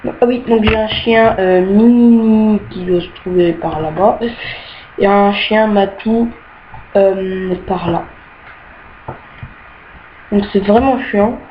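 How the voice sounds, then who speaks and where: Young woman, casual game tour, halting and fond of fillers, slow delivery, dull home mic with faint hiss. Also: medium pitch. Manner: hesitant, many "euh" fillers, easily annoyed by bugs. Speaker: gamer showing her rooms.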